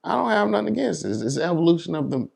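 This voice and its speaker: A man's voice: on the high side, big pitch swings, rapid-fire, creaky, a little mellow. An American man, a DJ in an interview, explaining things casually and often checking that he is understood. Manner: explanatory, repeats "you know what I'm saying". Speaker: DJ and entertainer being interviewed.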